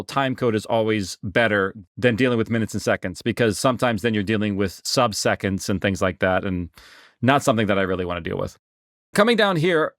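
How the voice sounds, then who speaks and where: A man giving a casual software tutorial as an instructor, explaining things conversationally on a close mic. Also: mid-range voice, dry recording.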